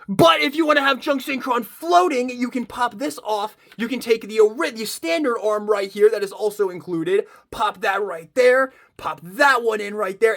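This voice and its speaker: A man giving an excited unboxing review, gushing and emphatic as he talks. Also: very high-pitched. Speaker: toy reviewer.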